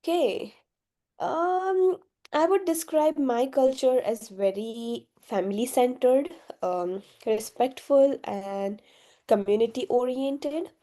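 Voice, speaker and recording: Slow, mellow young woman from Gujarat. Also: high voice. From Gujarat, India. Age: young adult. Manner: hesitant, many fillers, soft-spoken. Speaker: interviewee, university student. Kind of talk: casual interview answers.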